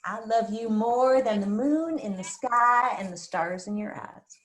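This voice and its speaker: A woman's voice: a little high, a little slow, reverberant, natural voice. A woman acting a crazy, possessive, folksy small-town mother who is fond but prickly, in a screenplay table read. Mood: teasing, tender. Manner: folksy, dramatic storytelling with teasing jabs. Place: remote virtual table read, roomy-sounding space.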